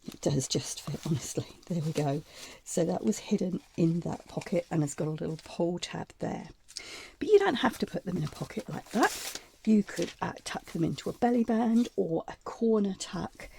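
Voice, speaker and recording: A woman hosting a casual craft tutorial, chatty and meandering, her voice croaky from a chest cold. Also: lowish voice, warm tone. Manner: chatty and meandering, with frequent ums and asides. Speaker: craft channel host.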